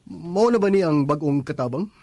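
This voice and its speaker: A man's voice: a little high, animated, moderate pace, warm tone, no room sound. A man with a Filipino Cebuano accent playing a reserved, stern young master of the house in a radio drama. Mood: reserved, curt. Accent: Filipino, Cebuano-speaking.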